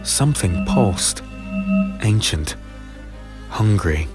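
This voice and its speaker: A man playing a weary, brooding fantasy hero who narrates his own dark tale in a deliberate, somber, dramatic way. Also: on the low side, expressive. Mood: ominous, tense. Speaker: narrator and lead character. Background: music.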